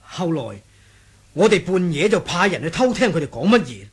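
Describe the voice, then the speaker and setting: Rebel soldier reporting to his chief, hong kong cantonese, high animated voice, respectful, old radio drama. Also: moderate pace, husky, heavily acted. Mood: grave, reporting. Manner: formal, storytelling, respectful. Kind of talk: 1980s radio drama acting.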